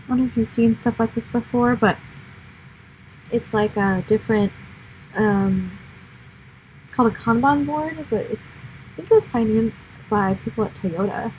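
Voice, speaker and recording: A woman's voice: a little high, normal speed, pauses often, darkish tone, slight background noise, poor audio. Chatty young woman, filler-heavy. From United States. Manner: rambling, filler-heavy, upbeat. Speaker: livestream host. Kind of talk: casual explanatory chat. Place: indoor office or lab room.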